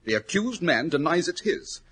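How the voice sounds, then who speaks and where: An actor playing a brisk, self-assured English detective in a 1940s radio drama, emphatic and probing with a superior manner. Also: male voice, on the high side, sing-song, fairly bright tone, heavily acted. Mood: incisive, assured. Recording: scratchy, rough 1940s radio recording.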